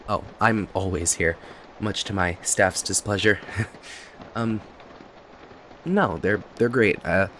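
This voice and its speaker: Low-voiced, rambling friendly barista played by a man. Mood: sheepish, rambling. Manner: rambling, hesitant, apologetic, friendly. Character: talkative, kindly young male cafe owner and barista. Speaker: voice actor in an audio roleplay. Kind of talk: ASMR roleplay, conversational acting to the listener. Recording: steady rain noise behind voice. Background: rain and cafe ambience.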